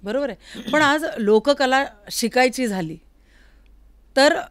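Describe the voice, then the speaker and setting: A woman's voice: high voice, lively intonation, slow, no room sound. A woman with a Maharashtrian accent hosting an interview in a conversational way, often giving short affirmations. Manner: attentive, frequent short affirmations. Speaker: interviewer, host. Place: recording studio.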